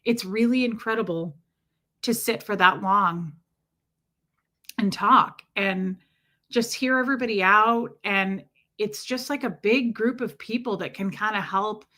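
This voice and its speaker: Female streamer, bright and forward tone, chatty and warm, lots of filler words. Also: mid-range voice, measured pace. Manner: chatty and warm toward viewers, many fillers. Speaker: livestream host. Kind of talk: casual livestream chat, answering viewer comments.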